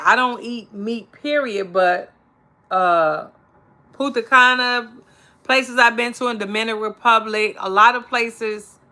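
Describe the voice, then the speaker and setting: An American woman from Chicago chatting casually to her viewers, telling stories in an animated, informal, slangy way. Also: mid-range voice. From Chicago, United States. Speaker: vlogger chatting with live viewers. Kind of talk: casual chat.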